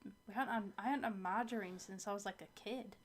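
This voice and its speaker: A woman with a mid-pitched voice joins her partner on a livestream in relaxed, casual chat. She giggles readily and teases him. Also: quick pace. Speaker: co-host, host's partner.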